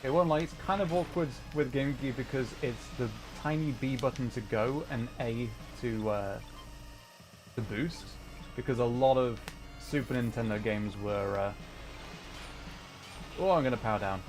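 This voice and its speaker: A man's voice: mid-range voice, normal speed, a little mellow. A man giving casual gaming livestream commentary, rambling and thinking aloud as he plays. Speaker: livestream host, gamer. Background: music.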